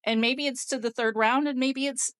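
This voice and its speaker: A woman's voice: high-pitched, fairly even intonation, moderate pace, clean tone, no room sound, clean studio sound. A woman in a casual group discussion, explaining things in a matter-of-fact way. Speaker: podcast panelist.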